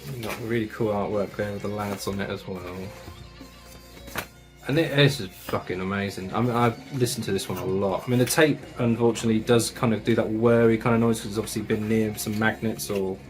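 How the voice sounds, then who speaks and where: A man's voice: lowish voice, steady tone, a little fast, music in the background. A man casually reviewing metal records and tapes, enthusiastic and chatty, with slang and swearing.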